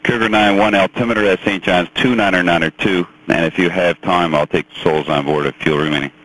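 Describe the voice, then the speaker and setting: A male air traffic controller with a deep, rough-edged voice speaks over the radio. He delivers his calls at a quick pace. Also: steady tone, a bit bright, low-quality recording. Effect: aviation radio.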